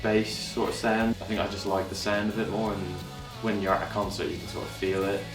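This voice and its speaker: Deep-voiced, relaxed 22-year-old man. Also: fairly quick. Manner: relaxed, hesitant, with fillers. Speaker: band's bass player. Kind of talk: documentary interview. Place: band practice room. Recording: echoey room, far from mic, music behind.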